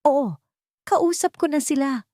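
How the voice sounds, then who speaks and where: A woman's voice: sits high, a little slow, dark tone, dry recording, clean studio sound, pitched above the performer's own voice. A woman putting on a honey-voiced scheming wife. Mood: hushed scheming. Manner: falsely tender, honeyed. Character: scheming sweet-talking woman, a cold stepmother.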